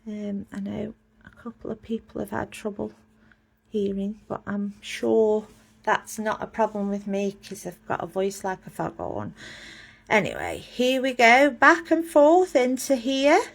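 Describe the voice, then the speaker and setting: A woman's voice: medium pitch, lively intonation, unhurried, gravelly. A woman giving a casual live drawing lesson, chatty and self-mocking as she talks through each step while drawing. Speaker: drawing teacher.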